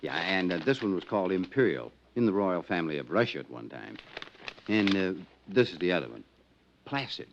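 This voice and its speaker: Chatty folksy insurance man, lowish voice that jumps all over, muffled old radio sound. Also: big pitch swings, a little fast, slight background noise. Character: genial talkative middle-aged insurance man. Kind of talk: 1950s radio drama acting.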